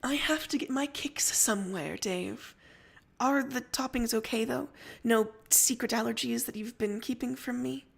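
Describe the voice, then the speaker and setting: A woman voicing a composed, teasing young girl with a soft, measured manner, in a read-aloud story. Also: on the high side, creaky, husky. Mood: wry, concerned.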